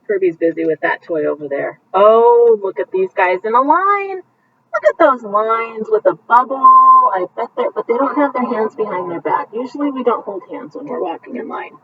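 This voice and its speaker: Lively woman reading a bedtime story. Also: fairly high pitch, recorded from a distance, muffled recording, slight background noise. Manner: animated, pausing to point out pictures to young listeners. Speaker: teacher reading a picture book aloud. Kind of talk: bedtime story read-aloud with commentary.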